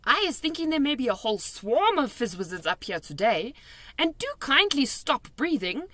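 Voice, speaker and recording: A woman doing an excitable old giant. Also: fairly high pitch, normal speed, voice pushed up. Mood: fussy. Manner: jumbled grammar, made-up words.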